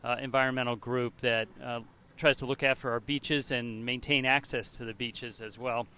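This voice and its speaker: Measured man co-commentating a sailing race. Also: medium pitch, flat delivery, sharp tone, clear, resonant tone. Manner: measured, informative, with frequent "uh" pauses. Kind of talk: live sailing commentary from a boat.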